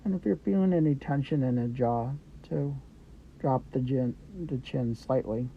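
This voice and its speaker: A man guiding a meditation with gentle, unhurried instructions in short phrases with pauses between them. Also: mid-range voice, gravelly. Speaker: meditation guide. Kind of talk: guided meditation, Yoga Nidra. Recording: dull lo-fi audio with background noise.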